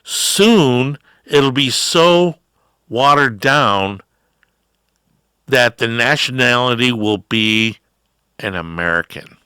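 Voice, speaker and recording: A middle-aged man hosting a talk show, delivering a rambling opinion monologue with drawn-out words and emphatic rises. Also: medium pitch, lively intonation, sharp tone, smooth, no echo, studio quality. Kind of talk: ranting political talk.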